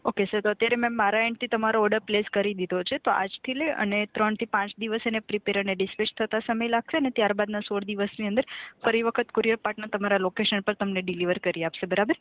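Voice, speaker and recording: A woman works as a customer care agent on a narrow, tinny phone line. She talks quickly in a courteous, scripted manner, often checking that she has been understood. The sound is dry, with no room around it. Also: medium pitch, steady tone, no room sound. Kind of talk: scripted, polite customer service phone talk. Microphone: telephone call.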